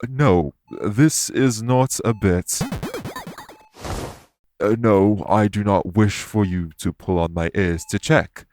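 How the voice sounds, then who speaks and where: A man voicing a calm, courteous winged elf in an audio roleplay, speaking formally and patiently to reassure the listener. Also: on the low side, slow, faint music behind. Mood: measured, explanatory.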